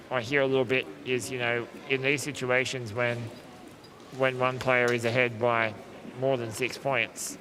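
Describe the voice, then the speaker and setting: A man giving live sports commentary, calm and explanatory, often restarting his sentences as he thinks aloud. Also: medium pitch. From Australia. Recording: live stream with steady background noise.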